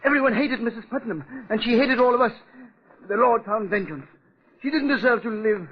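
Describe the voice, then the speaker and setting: High, husky, frightened old butler. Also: male voice, a little fast. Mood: fearful ranting. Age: older. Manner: deferential, stammering when pressed. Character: frightened, bitter old butler, servile then ranting. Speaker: actor. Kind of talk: 1940s radio acting. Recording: muffled old radio recording.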